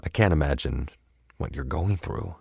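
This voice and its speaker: A man playing a gentle, grieving middle-aged father in an audio drama, speaking softly and haltingly to console. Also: rumbling low, gravelly, breathy edge, no echo, clean studio sound, full character voice. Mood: tender, sorrowful. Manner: soft, halting, tender.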